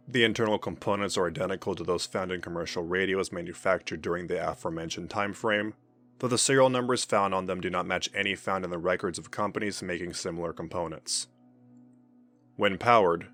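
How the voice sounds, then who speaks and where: A man reading a scripted report as narrator, in a formal and measured manner. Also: low voice, a bit bright.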